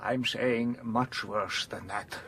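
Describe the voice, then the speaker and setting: An actor playing a grave elderly foreign professor in a gothic audio drama, deliberate and halting, repeating words for weight. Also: male voice, medium pitch, fairly even intonation, gravelly, fairly bright tone, slight background noise. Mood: quiet, insistent. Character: grave elderly foreign professor, vampire hunter, patient but relentless. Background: dramatic music.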